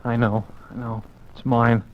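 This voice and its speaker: Man doing a frightened young suspect, hesitant, mid pitch, old radio drama, dull sound. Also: flat delivery, unhurried, a little hiss or hum, close to an everyday voice. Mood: shaken. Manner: hesitant, shaken delivery. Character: young male suspect Tom, scared and bewildered. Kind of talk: 1940s radio acting. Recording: muffled old radio broadcast.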